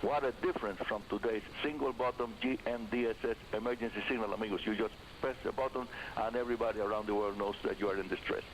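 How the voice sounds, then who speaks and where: An older man presents a hobby radio show in a fairly low voice. His delivery is scripted and emphatic, speaking to listeners as friends. The sound is a shortwave broadcast with clear hiss and static over the voice. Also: fairly even intonation, fast, few pauses. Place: radio studio, heard over shortwave receiver. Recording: hissy, noisy shortwave radio broadcast reception. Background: loud hiss and static.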